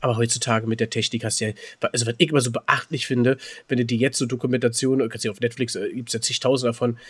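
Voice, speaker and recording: Very fast-talking man with a husky voice. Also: medium pitch, little pitch movement. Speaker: podcast co-host. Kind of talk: casual podcast banter.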